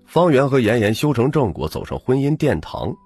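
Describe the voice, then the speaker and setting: A man with a husky voice narrating a novel in dramatic first-person storytelling as an audiobook reader. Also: mid-range voice, very animated, normal speed, a little mellow. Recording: music bed under the narration. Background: background music.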